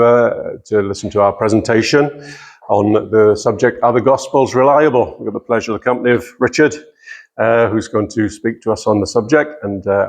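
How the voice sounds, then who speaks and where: A man chairing a church meeting, welcoming everyone and handing over to the speaker, a little hesitant. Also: mid-range voice. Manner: friendly, hesitant with fillers. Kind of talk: welcome and introduction.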